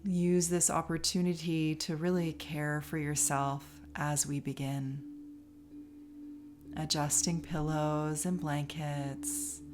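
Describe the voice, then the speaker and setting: Slow, low-voiced woman guiding meditation. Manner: calm, soothing, unhurried delivery with long pauses. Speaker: yoga nidra instructor. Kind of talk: guided meditation, relaxation instruction. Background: music behind the voice.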